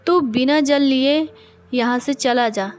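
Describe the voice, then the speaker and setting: Slow, high-voiced menacing witch played by a woman. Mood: challenging. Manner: slow, imperious, threatening delivery. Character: menacing female witch guarding a forest pond. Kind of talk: cartoon moral story voice acting. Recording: background music under the voice.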